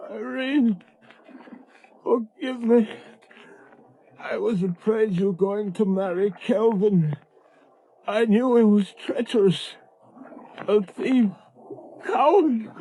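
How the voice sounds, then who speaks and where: Very high, husky, panicky crook. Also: male voice, darkish tone, heavily acted, poor audio. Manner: fearful, halting, repeats words. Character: panicky, pleading, cowardly male small-time crook. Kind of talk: 1930s radio melodrama acting. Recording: vintage 1930s radio broadcast.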